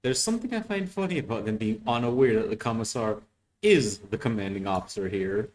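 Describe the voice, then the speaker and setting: A man hosting a reaction video, commenting casually and haltingly as he thinks aloud. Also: on the low side, expressive, a little mellow.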